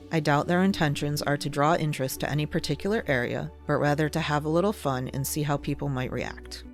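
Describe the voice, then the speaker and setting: A narrator reading a scripted documentary piece in a measured, explanatory way. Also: mid-range voice. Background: ambient chill-out music.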